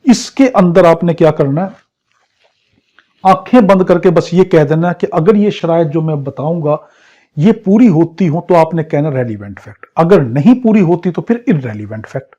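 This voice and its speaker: A man's voice: on the high side, lively intonation, moderate pace, warm tone. A man with a Pakistani accent lecturing as a law teacher in an exam crash course, drilling points by repetition and rhetorical questions. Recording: dull, lo-fi muffled audio.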